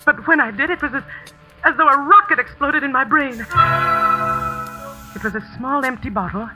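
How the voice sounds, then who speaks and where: An actress playing a tense, determined schoolteacher in a 1940s radio suspense drama, intense and halting for effect. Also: female voice, high voice, pushed and bright, faint music behind, low-quality recording. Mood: suspenseful. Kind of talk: dramatic first-person radio narration, 1940s.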